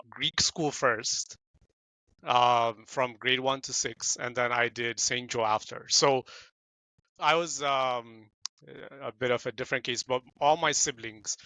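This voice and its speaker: A man's voice: medium pitch, bright, forceful tone, no room sound, rough recording. A man hosting an interview, chatty and playful, asking questions and repeating words. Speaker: interview host. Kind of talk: casual interview.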